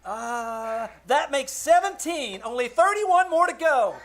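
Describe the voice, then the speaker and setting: A woman's voice: high voice, expressive, slow. A woman playing a cheeky, wry young boy, an exasperated nephew in a live old-time radio comedy. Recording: faintly noisy live stage recording.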